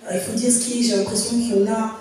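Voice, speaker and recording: A woman's voice: on the high side, level tone, very fast, darkish tone, slight background noise. A woman in her thirties, from Congo, speaking at a public panel in an earnest, reflective way with many fillers and restarts. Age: 30s. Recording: echoey hall, voice from far off.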